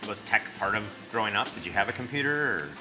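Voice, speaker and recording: A man's voice: lowish voice, animated, fairly quick, sharp tone, low-quality recording. A middle-aged man asking questions and chatting casually as an interviewer.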